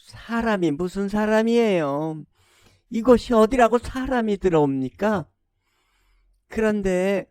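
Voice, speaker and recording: A young fairy-tale princess , played by a male storyteller, pitched high. She is courteous and deferential, wheedling with a crafty politeness. The sound is dry and close. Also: no room sound. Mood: coaxing. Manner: deferential, wheedling, honorific speech.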